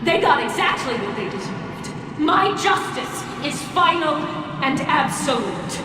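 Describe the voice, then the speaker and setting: An actress playing a vengeful masked villain in a video game, self-righteous and menacing, with a theatrical, taunting delivery. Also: female voice, sits high, big pitch swings, a little slow. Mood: cold righteous fury. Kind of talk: video game cutscene acting. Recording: distant, heavily echoing hall, clear background noise.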